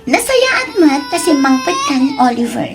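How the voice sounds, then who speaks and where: A woman playing a demanding, selfish mistress in an Ilocano radio drama, coaxing and haughty, quick to snap. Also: sits high, very animated, over loud music.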